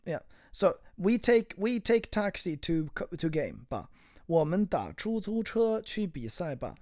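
A woman's voice: on the low side, sing-song, unhurried, mellow, no echo, studio quality. A woman teaching Mandarin in a casual two-person lesson, explaining words piece by piece and switching between English and Chinese. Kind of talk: bilingual language lesson chat.

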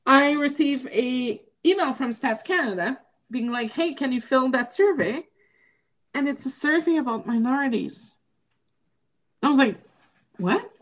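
A woman from Quebec whose first language is French, co-hosting a political talk podcast and speaking emphatically, her voice rising into high, outraged questions. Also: lively intonation, a little slow. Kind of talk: impassioned political chat.

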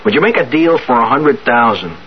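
An actor playing a brash, pushy young streetwise suitor in a 1970s radio drama, blunt and slangy. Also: male voice, medium pitch, big pitch swings, brisk, slight background noise, close to an everyday voice. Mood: pushy, bargaining. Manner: blunt, insistent, slangy working-class talk. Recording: dull lo-fi old radio, music behind.

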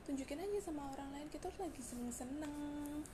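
A hoarse young woman hosting a casual solo podcast, chatty and confiding, with frequent fillers and restarts. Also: high voice, a little fast, noisy background. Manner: chatty, confiding, mixes in English words, many fillers and restarts. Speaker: podcast host.

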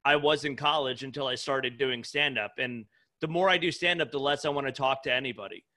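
A man's voice: mid-range voice, fairly quick, sharp tone. A man in his thirties, a stand-up comedian chatting casually on a podcast with profane, informal speech full of "dude" and "like". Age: 30s.

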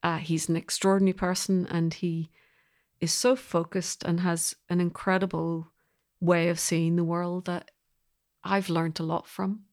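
A middle-aged woman being interviewed about her work as a composer, speaking reflectively in measured, considered phrases. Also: on the low side, little pitch movement, darkish tone.

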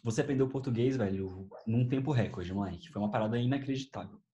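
A young Brazilian man with a medium-pitched voice talks at a rapid clip. He recounts memories casually, with animated delivery and plenty of slang. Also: dull audio, slight background noise. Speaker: host. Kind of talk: casual chat, telling memories.

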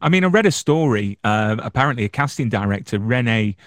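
A man's voice: medium pitch, lively intonation, a little fast, dry recording, clean studio sound. A man interviewing an actress, asking brief, friendly questions.

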